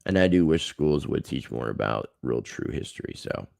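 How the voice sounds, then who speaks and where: A man's voice: extremely low pitch, animated, moderate pace, creaky, darkish tone, no echo, studio quality. A man hosting a livestream, chatting casually and earnestly to his viewers. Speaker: livestream host.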